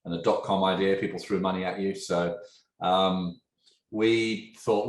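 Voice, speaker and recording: English man with an Aussie-softened accent. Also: deep voice. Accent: English, softened by years in Australia. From England. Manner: animated storyteller, poses questions to his listener. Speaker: guest, tech entrepreneur. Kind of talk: conversational podcast interview. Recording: roomy echo.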